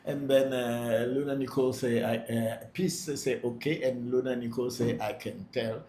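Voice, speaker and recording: A man's voice: medium pitch, steady tone, normal speed, mellow. A man who is a Vodu priest hosting a live question-and-answer session, reading out questions and answering them plainly, often repeating himself for emphasis. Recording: echoey room, faint background noise.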